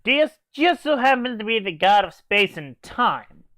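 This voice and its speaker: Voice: mid-range voice, very animated, a little slow, a bit bright, no echo, clean studio sound. A reviewer hosting a scripted comic review, playing the exasperated straight man. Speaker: host, anime reviewer.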